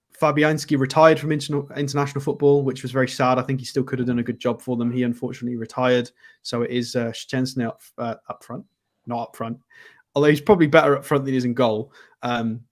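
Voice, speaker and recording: A man's voice: mid-range voice, steady tone, a little fast. A man co-hosting a casual live football chat show, blunt and opinionated, with frequent hesitant ums. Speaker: football pundit co-host.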